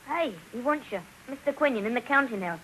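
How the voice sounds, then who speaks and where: Cockney working lad acted by a woman, fairly high, bossy and plain-spoken, for old radio drama. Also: animated, brisk, fairly bright tone, a little hiss or hum, poor audio. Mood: matter-of-fact. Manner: matter-of-fact, bossy. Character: streetwise working-class London warehouse boy. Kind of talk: 1950s radio drama acting. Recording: old 1950s radio broadcast.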